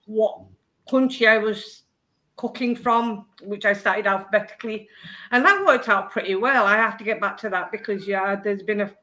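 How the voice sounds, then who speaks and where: A woman's voice: medium pitch, steady tone, moderate pace, bright, forceful tone, rough recording. A woman from England with a Northern English accent from Oldham, hosting a casual livestream chat in a chatty, self-deprecating way. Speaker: cooking channel host.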